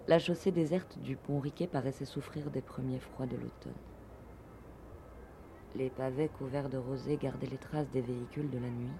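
A man's voice: medium pitch, warm tone, slight background noise. A man reading descriptive literary prose in a scripted narration.

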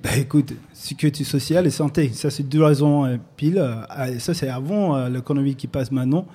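An American man answering interview questions in halting French, with many pauses and restarts, at a public recording. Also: medium pitch, warm tone, slightly rough. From United States. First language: English. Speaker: guest artist. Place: public recording at an arts space.

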